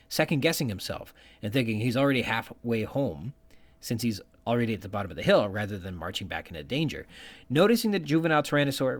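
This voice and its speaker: A man hosting a podcast, giving bookish literary commentary from prepared notes and quoting passages as he goes. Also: medium pitch. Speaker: podcast host.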